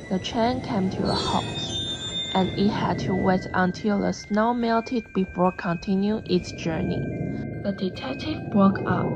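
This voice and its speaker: A woman's voice: medium pitch, muffled recording. A young woman reading scripted narration for a student English radio drama. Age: young adult. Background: background music.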